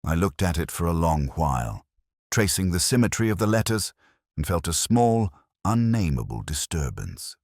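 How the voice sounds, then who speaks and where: A man reading a scripted first-person mystery story in a measured, solemn, literary manner. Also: low voice, very animated, a little mellow, dry recording, clean studio sound. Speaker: narrator.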